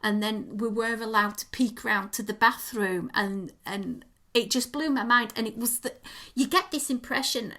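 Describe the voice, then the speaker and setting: A woman co-hosting a casual podcast, chatty and gushing, with a voice going croaky. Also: on the high side, moderate pace, sharp tone. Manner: gushing, enthusiastic about details. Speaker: podcast co-host.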